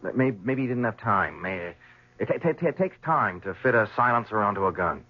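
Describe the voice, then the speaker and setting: A man's voice: fairly low pitch, lively intonation, brisk, slightly rough, lo-fi sound. An actor playing a distraught, defensive young man devoted to his mother, stammering under pressure in a 1970s radio drama. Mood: nervous, evasive.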